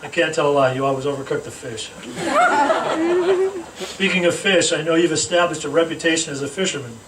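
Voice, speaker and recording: A man's voice: on the high side, brisk, over a noisy crowd. Man reading a roast in an echoey room. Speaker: speaker reading a roast tribute aloud. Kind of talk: comedic roast speech read aloud to a gathering. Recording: echoey room, distant mic, noisy background.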